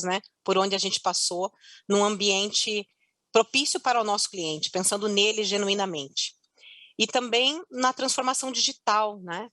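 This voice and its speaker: A woman's voice: mid-range voice, fairly bright tone, no room sound. Upbeat woman with Curitiba accent. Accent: Curitiba Portuguese. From Curitiba, Brazil. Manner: chatty, upbeat, laughs easily, frequent "né?". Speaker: panelist, customer success head. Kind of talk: online panel talk. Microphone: video call.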